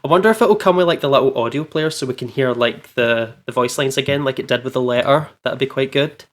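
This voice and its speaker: A man hosting a gaming channel, chatting casually and excitably, with an informal, giggly manner. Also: medium pitch, fast. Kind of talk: casual let's play chat. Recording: music behind the voice. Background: background music.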